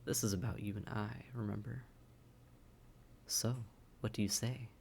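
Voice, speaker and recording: A man acts out a suave male vampire with a lowish voice that often drops into creaky fry. He is condescending yet courteous, wryly teasing the listener in an intimate audio roleplay. A faint noise sits behind the voice. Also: steady tone, moderate pace. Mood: candid, persuasive. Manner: polite, wry, articulate, teasing, with sighs and hushed asides.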